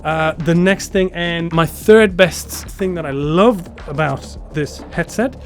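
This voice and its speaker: A man giving a casual, unscripted gear review to camera, enthusiastic but halting, often repeating words and phrases. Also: on the high side, soft background music. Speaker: product reviewer.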